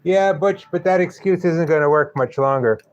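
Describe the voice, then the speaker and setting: A man's voice: a little high, moderate pace, dull audio. A man from New York with a Brooklyn accent, chatting casually and joking with viewers on a live stream.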